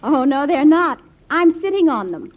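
An actress playing a bold, wily young newspaper reporter in an old-time radio drama, brisk, cheeky and persistent. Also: female voice, high-pitched, very animated. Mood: cheeky, determined. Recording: muffled vintage radio recording.

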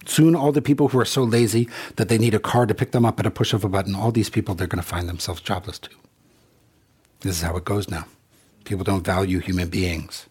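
A middle-aged man from Congo speaks in an earnest, reflective way. His voice is deep and extremely husky. He tells stories and acts out both sides of the exchanges. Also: steady tone, fairly quick, a little mellow. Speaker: rideshare driver. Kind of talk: personal monologue.

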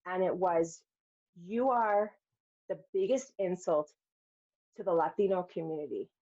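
A woman's voice: fairly low pitch, unhurried, mellow. A middle-aged woman telling personal stories candidly in a podcast conversation, acting out quotes of herself and others as she goes. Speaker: podcast guest, filmmaker and speaker. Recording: dull, lo-fi sound.

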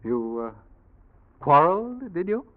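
An actor playing a suave, scheming Englishman in an old radio drama, with a husky voice and a smooth, theatrical, insinuating manner. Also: fairly high pitch, big pitch swings, a little slow. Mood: urgent, insinuating. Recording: dull, muffled old radio recording.

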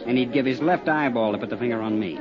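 A man's voice: mid-range voice, fast talker, crowd noise, close to an everyday voice. Slangy roadhouse hustler, played by a man, vintage radio sound. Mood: wary, evasive. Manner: hipster slang, wisecracking, evasive. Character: slangy, cagey small-town roadhouse owner, wisecracking hustler. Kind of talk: 1950s radio detective drama acting. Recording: dull vintage 1950s radio recording.

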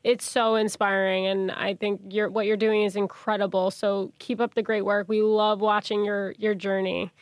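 A woman's voice: medium pitch, little pitch movement, normal speed, hardly any pauses, dry recording. A woman co-hosting a podcast, enthusiastic and full of praise for her guest. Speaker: podcast co-host. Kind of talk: casual podcast chat.

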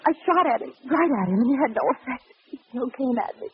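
An actress playing a shaken, frightened woman, speaking haltingly and dramatically in an old radio mystery. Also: sits high, lively intonation, slightly rough, heavily acted. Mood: shaken fear. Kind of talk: 1940s radio acting. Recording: muffled old radio recording, faint noise.

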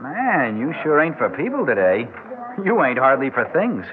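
Swooping slangy hipster musician. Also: male voice, medium pitch, huge pitch swings, moderate pace, phone-quality sound. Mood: needling, laid-back. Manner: slangy beatnik talk. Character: wisecracking, easygoing hipster guitar player. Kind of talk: 1960s radio drama acting. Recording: thin, faintly noisy old radio recording.

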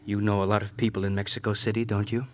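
An actor playing a cool, guarded assassin in an old radio drama, terse and businesslike. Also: male voice, fairly low pitch, flat delivery, fast talker, darkish tone, close to an everyday voice. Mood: insistent, probing. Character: cool, guarded male assassin travelling under false names. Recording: noisy old radio recording.

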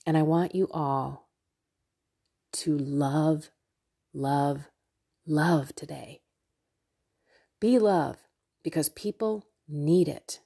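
Low-pitched, slightly nasal woman podcaster. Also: very slow, gravelly, long pauses, dark tone, slightly rough. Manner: effusive, chatty, talks directly to listeners. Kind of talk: casual devotional monologue to listeners.

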